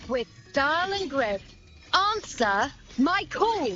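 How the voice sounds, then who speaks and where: A woman's voice: high voice, big pitch swings, slow, sharp tone, heavily acted. A woman voicing poised, imperious young female fighters in an anime game, with theatrical, commanding short declarations. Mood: haughty, commanding. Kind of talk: anime game combat voice lines. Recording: dull game audio under music.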